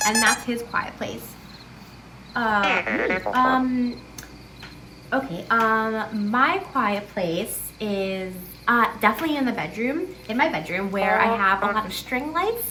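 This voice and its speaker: A woman hosting a children's picture book story-time, chatty and playful, with expressive emphasis on sound words and questions to young listeners. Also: a little high, heavy background noise. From lives in New York City. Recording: roomy, distant mic with loud music.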